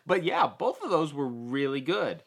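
A man's voice: on the high side, moderate pace, gravelly. A man co-hosting a podcast in casual chat, reading out listener feedback. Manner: relaxed, chatty, reads listener letters aloud. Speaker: podcast co-host.

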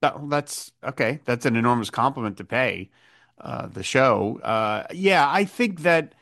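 A man hosts a podcast, moving between recapping a plot and musing aloud in a thoughtful, hesitant way. His voice sits at a middle pitch for a man. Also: expressive, unhurried, fairly bright tone. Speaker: podcast host. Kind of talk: episode recap and casual chat.

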